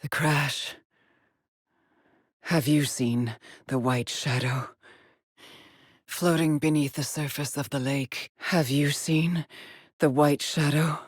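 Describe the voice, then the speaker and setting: A haunted lone female space explorer from a sci-fi video game, speaks in a low, husky voice. She is measured and solemn, weary yet determined, and often breathy, as if logging her findings alone. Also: steady tone, dry recording, studio quality, full character voice. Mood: quiet, haunted. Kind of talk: dramatic game voice lines, short log entries.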